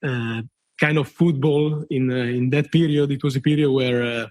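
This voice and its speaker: A man's voice: mid-range voice, fairly even intonation, darkish tone. Hesitant man in his thirties. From Italy. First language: Italian. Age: 30s. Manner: halting, many fillers, swears and laughs freely. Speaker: listener guest. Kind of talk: casual podcast storytelling.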